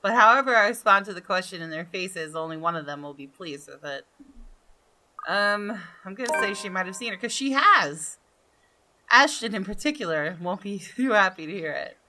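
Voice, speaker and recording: A woman's voice: medium pitch, lively intonation, moderate pace, bright, forceful tone, rough recording. A woman hosting a gaming video, reading visual novel narration expressively with casual commentary.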